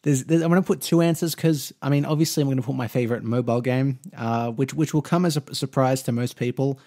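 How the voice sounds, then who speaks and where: A man's voice: medium pitch, quick pace, a little mellow. A man in his twenties with an Australian accent, chatting casually and rambling through a Q&A vlog for his gaming channel. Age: 20s. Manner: rambling, with frequent restarts and fillers; easygoing, chatty. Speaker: mobile gaming YouTuber.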